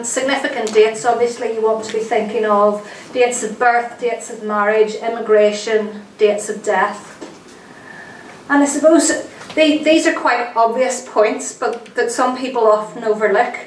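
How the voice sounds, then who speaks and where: A woman, nasal from a head cold, gives a friendly, rambling talk to a room full of people. Her voice sits a bit high and is picked up from across an echoey lecture hall, with a faint hum underneath. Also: distant mic. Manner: friendly, chatty with many ums and restarts. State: has a cold, sounds nasal. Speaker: archivist giving a genealogy lecture. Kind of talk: public lecture. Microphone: distant room mic.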